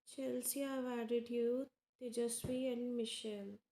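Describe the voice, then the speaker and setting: A woman with an Indian English accent, hosting a live tarot stream and chatting casually with viewers by name, unhurried and full of fillers. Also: fairly high pitch, steady tone, dark tone, slight background noise. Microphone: earphones with mic. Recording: echoey room.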